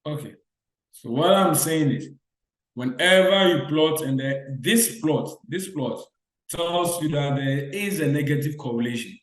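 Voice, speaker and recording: A man's voice: fairly high pitch, expressive, a little slow, echoey room, rough recording. A man teaching an online lecture who quizzes students with repeated short questions and restates his points. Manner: repetitive call-and-response questioning.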